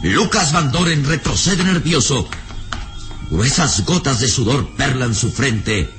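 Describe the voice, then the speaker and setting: A man's voice: mid-range voice, animated, slow pace, fairly bright tone. Male radio serial narrator over music.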